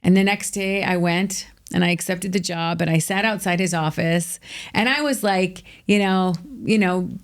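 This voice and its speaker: Emphatic American woman with a lowish voice. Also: normal speed. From United States. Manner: energetic, emphatic, filler-heavy with frequent "like". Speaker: guest, author. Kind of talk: candid storytelling interview.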